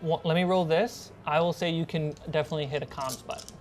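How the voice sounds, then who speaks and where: A woman's voice: deep voice, quick pace, darkish tone. A woman narrating a tabletop horror role-playing game as game master. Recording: music bed under the voice. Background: background music.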